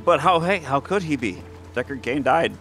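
A man's voice: medium pitch, lively intonation, normal speed, a bit bright, faint music behind. A man chatting casually as a co-op gaming partner, talking in a hesitant, rambling way. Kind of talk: casual gameplay chat.

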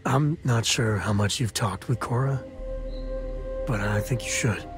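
A rugged space cowboy , acted by a man, speaks intimately in a video game. He is earnest and a little bashful, halting as he goes at an unhurried pace. Music plays clearly behind him. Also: on the low side, natural voice. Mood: earnest, hesitant. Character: rugged space cowboy, sincere, bashful, affectionate single father. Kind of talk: intimate scripted game dialogue.

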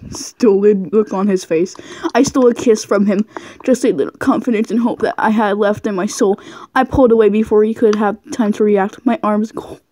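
A woman's voice: medium pitch, dark tone, a little hiss or hum, rough recording. A woman doing a casual, goofy read-aloud of fan fiction, giggly and often stumbling over her words. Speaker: amateur reader of fan fiction.

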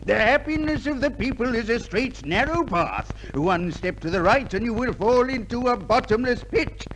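A man's voice: very high voice, expressive, moderate pace, fairly bright tone, exaggerated voice. A man playing a pompous, preachy election candidate who declaims in a 1950s radio comedy sketch. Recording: noisy 1950s radio show recording.

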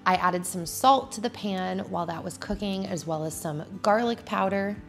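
A woman's voice: medium pitch, moderate pace, over music. A woman in her thirties, a vlogger chatting casually at home as she narrates her cooking. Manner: chatty, laid-back, with casual fillers. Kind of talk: cooking vlog voice-over. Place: home, indoors.

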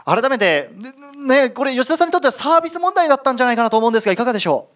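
Guy mc at a live event, high lively pitch, emphatic announcer delivery. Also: racing. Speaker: stage event host. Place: cinema stage.

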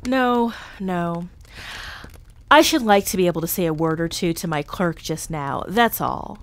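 A woman voicing an anguished old male miser pleading loudly to be released. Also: lowish voice, animated, unhurried, dry recording. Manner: pleading, loud.

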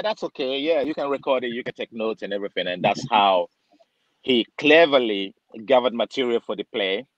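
A man from Nigeria who works as a barber tells a long story on a video call. He talks chattily, fills it with "um" and "like", and slips into the voices of the people in it. His mid-low voice comes through a dull, dry, lo-fi webcam line. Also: fairly bright tone, no room sound. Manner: chatty, acts out dialogue, many fillers.

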